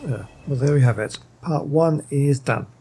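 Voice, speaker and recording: A man's voice: medium pitch, moderate pace, mellow, husky. A man commentating on a video game as he plays, thinking aloud and reading dialogue choices out. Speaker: game streamer. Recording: game music behind the voice.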